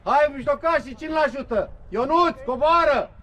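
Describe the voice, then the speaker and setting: Very high-pitched man coaching from the touchline. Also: a bit bright. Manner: insistent, urging, full of short commands and encouragement. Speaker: football coach. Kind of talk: shouted touchline instructions. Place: outdoors at a football pitch.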